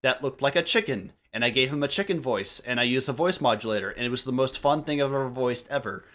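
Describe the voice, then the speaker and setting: Guy rambling on a casual podcast, average pitch, keeps stopping and restarting his stories. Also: expressive, fairly bright tone. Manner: rambling, halting, self-interrupting storytelling. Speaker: podcast member, amateur voice actor. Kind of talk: casual group podcast chat.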